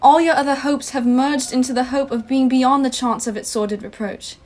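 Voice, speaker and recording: A young woman on a school stage playing a hurt young fiancée, speaking with measured, dignified reproach. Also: sits high, steady tone, darkish tone, lightly acted. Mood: sorrowful. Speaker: stage actor. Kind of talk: school stage play acting.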